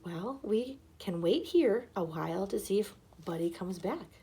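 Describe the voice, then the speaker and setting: Woman reading as a gentle, reassuring storybook dad. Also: mid-range voice, expressive, a little slow, a little hiss or hum, pitched below the performer's own voice. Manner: gentle, reasoning. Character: gentle, reassuring father, a grown man, calm and practical.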